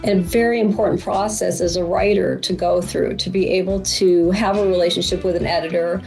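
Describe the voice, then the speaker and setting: Gravelly, low-pitched middle-aged American woman. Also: steady tone, brisk, a little mellow, faint music behind. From Boston, United States. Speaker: author, interview guest. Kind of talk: reflective interview talk.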